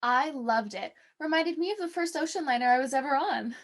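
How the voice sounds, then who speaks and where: A woman's voice: sits high, fairly quick. A woman playing a swooning, starstruck co-host on a 1940s radio show, in period acting style. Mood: dreamy, joking.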